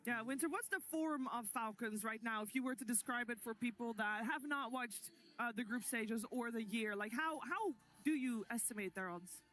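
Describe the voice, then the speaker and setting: A woman's voice: a little high, normal speed, few pauses, fairly bright tone. A woman hosting an esports broadcast panel, steering the discussion between analysts. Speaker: panel host.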